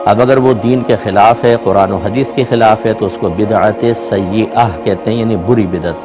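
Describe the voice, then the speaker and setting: A man speaking as an Islamic scholar, answering a religious question in a measured, explanatory way, point by point. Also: lowish voice, fairly even intonation, music in the background.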